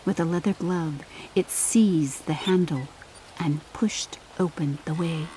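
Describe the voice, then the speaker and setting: Slow, low-voiced woman narrating a story. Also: dark tone, a little hiss or hum, poor audio. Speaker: audiobook narrator. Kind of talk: scripted dramatic story narration.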